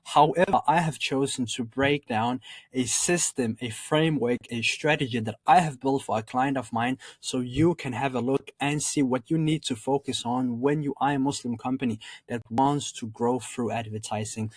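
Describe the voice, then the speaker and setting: Male tutorial presenter, Danish accent, mid-pitched voice, formal wordy delivery with emphatic openers, very dry recording. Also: dry recording. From Denmark. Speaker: marketing consultant presenting a tutorial. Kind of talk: explanatory video presentation.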